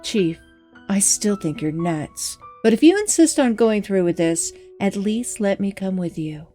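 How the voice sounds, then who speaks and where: A woman's voice: medium pitch, lively intonation, unhurried, mellow, natural voice. A woman playing a worried, pleading young assistant newspaper editor in an audio drama, speaking earnestly and insistently. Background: music bed.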